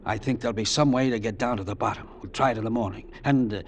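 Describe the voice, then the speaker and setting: An actor playing a ruthless, scornful crime boss in an old-time radio drama, giving orders with cutting authority. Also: male voice, fairly low pitch, fast, slight background noise, natural voice. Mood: calculating, commanding.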